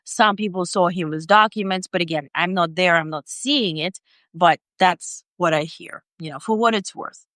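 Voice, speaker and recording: A middle-aged woman from Ukraine, speaking English as a second language, with a low and smooth voice. She talks as a guest on a video call and pauses often on fillers. The sound is dry and close. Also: a bit bright, no echo. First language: Ukrainian or Russian. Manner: hesitant, many fillers. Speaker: independent journalist, guest. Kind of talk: interview conversation.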